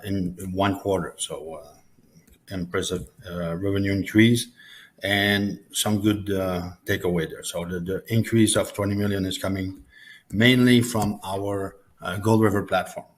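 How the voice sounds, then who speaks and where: Low, halting man. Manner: halting, hesitant delivery. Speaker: chief financial officer presenting. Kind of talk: earnings call presentation.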